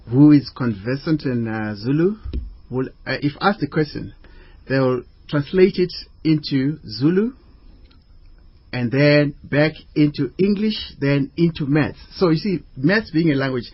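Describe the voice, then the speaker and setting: A man answers as a radio interview guest. He explains in a measured, hesitant way, like a teacher with examples. The sound is close and dry but a little dull. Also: medium pitch, husky, no room sound. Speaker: interview guest, maths educator.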